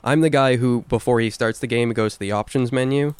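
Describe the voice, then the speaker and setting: A young man with a low voice, co-hosting a podcast in casual chat, earnest and deliberate with a dry wit. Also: a little mellow, smooth, no room sound. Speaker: podcast co-host.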